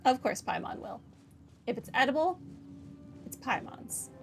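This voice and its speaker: A woman's voice: high-pitched, very animated, measured pace, vocal fry, music in the background. A woman streamer giving casual playthrough commentary with dry, wry asides. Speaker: gaming streamer.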